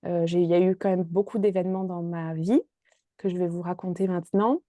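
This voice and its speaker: A woman's voice: lowish voice, fairly even intonation, fairly quick, mellow, no echo. A woman in her twenties from Marseille, talking hesitantly with many fillers as a podcast guest. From Marseille, France. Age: 20s. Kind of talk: casual interview chat.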